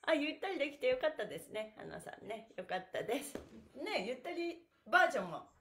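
A woman's voice: sits high, very animated, brisk, a bit bright, a little hiss or hum. A middle-aged woman leading a livestreamed stretching class in a cheerful, chirpy, encouraging manner, chatting to her viewers. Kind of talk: upbeat exercise instruction, chatting to livestream viewers. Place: small indoor room with bare walls and wooden floor. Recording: echoey, roomy sound.

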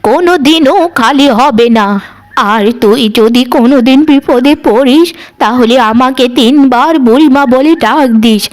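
A solemn old enchantress of the forest acted by a woman, with a high voice. She is a cartoon granny who is kindly to the good and stern to wrongdoers, and she speaks like one handing down spells. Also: a little slow, rough recording.

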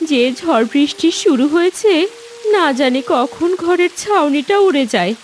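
A fretful village wife and mother who nags and protects, performed by a woman. She speaks in a high cartoon-drama voice over clear hissing, rain-like noise. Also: animated, moderate pace. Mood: nagging. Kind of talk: cartoon fairy-tale acting.

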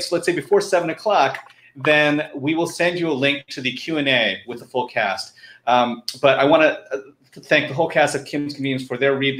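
A middle-aged man hosts an online event over a video call. His medium-pitched voice sits in an echoey room. He speaks informally and often stops to restart a phrase. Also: a bit bright. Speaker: host of a live online fundraiser. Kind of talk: informal live stream hosting. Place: room at home, indoors. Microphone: computer video call.

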